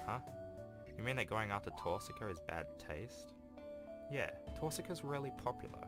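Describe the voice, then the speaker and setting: A man's voice: lowish voice, very animated, normal speed, voice pushed up. A man voicing an earnest, defensive teenage schoolboy who stammers and protests as he reads a visual novel. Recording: voice over game music.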